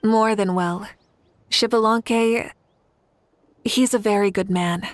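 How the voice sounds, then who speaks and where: A woman's voice: medium pitch, fairly even intonation, takes it slowly, no echo, lightly acted. A woman playing a confident, benevolent warrior leader in an anime game, composed and encouraging. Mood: calm, admiring.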